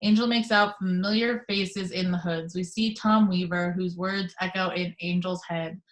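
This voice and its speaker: A woman reading the action descriptions of a screenplay in a steady, matter-of-fact way on a video call. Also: fairly low pitch, normal speed.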